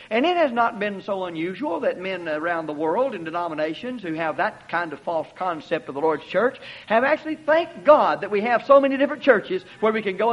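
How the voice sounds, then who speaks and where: A man delivering a sermon in a high voice, driving his points home through repetition and pointed rhetorical questions. The recording is dull and lo-fi. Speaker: preacher.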